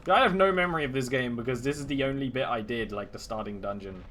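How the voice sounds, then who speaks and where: A man's voice: medium pitch, fast talker, fairly bright tone, a little hiss or hum. A young man streaming his first run of a game, chatting casually and joking at his own expense. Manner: playful, jokey, self-mocking. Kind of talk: casual gameplay commentary.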